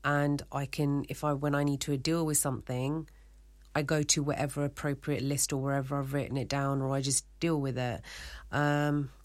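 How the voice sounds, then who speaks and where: A woman's voice: rumbling low, flat delivery, moderate pace. A woman presents a community radio show alone. She talks casually without a script, thinking aloud and drifting between thoughts in a confiding way. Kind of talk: casual unscripted solo radio talk.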